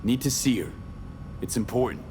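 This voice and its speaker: A man's voice: mid-range voice, moderate pace, natural voice. A man playing a blunt, guarded mercenary in a video game, talking in terse, clipped lines. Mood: blunt, insistent. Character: blunt, guarded male mercenary searching for a missing person. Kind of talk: scripted video game acting. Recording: faint ambient noise.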